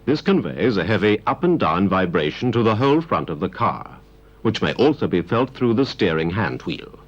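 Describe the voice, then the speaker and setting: A British man reading a scripted instructional narration in a measured, precise way, from a 1970s training film. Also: sits low. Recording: dull 1970s videocassette transfer. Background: 1970s training film soundtrack.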